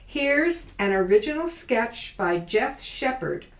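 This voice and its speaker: Woman introducing a piece, unhurried and lively pitch, echoey hall. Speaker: announcer. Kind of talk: scripted introduction.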